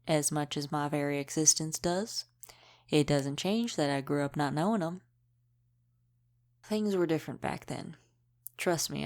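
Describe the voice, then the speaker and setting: A woman playing a centuries-old, world-weary female vampire in an audio roleplay, gruffly protective, speaking in an unhurried, wry, folksy way with dropped g's. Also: sits low, a little mellow. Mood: guarded, matter-of-fact. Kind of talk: intimate audio roleplay acting.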